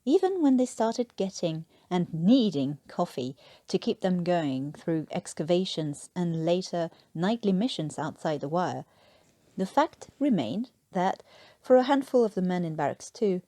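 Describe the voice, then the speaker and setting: A woman reading a scripted story aloud as an expressive narrator. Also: fairly low pitch, no echo. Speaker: fan fiction narrator.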